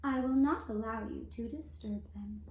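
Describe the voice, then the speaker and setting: A woman voicing a kind, simple youngest prince who speaks in a solemn, drawn-out singsong in a puppet-show fairy tale. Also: a little high, darkish tone, natural voice. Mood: gently firm. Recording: roomy, echoing sound.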